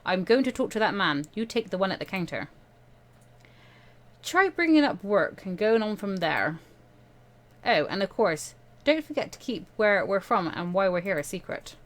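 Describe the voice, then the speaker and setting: A woman's voice: mid-range voice, expressive, normal speed, close to an everyday voice. A woman voicing a casual, wry adult male mentor in a visual novel, coaxing and giving instructions. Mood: instructing, reassuring.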